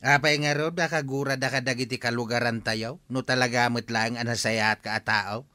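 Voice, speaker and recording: A man's voice: medium pitch, steady tone, normal speed, bright, forceful tone, dry recording, lightly acted. An actor playing an exasperated, long-suffering older husband and father, reproachful and plaintive in a radio drama. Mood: sad, reproachful. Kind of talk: Filipino radio drama acting.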